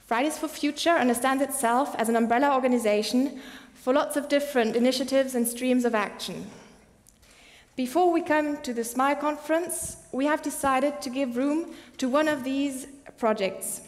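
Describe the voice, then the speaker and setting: A woman's voice: high voice, moderate pace. A young woman moderating a press conference with formal prepared statements and introductions. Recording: echoey hall with long reverb.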